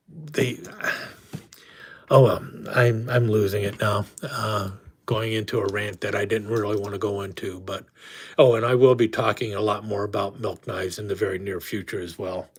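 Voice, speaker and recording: A man's voice: on the low side, slightly rough. Guy on a live stream losing his voice, rambly, lots of ums and restarts. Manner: rambling, frequent restarts and fillers. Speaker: host. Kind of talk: casual live-stream chat.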